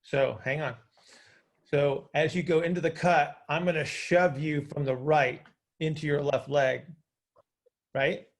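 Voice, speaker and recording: A man with a creaky voice, casually explaining as a coach hosting a group call, often checking that listeners follow. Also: on the high side, fairly even intonation, a little slow. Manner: informal, hands-on, checks understanding with short tag questions. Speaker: host and coach.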